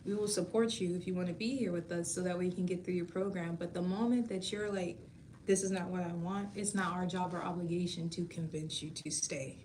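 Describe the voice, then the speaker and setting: A woman in her thirties chatting casually with viewers on a livestream, warm and talkative with frequent laughs, explaining beauty school and her outlook. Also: on the low side, fairly even intonation, brisk, a little hiss or hum. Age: 30s. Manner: chatty, laughs often, calls viewers baby.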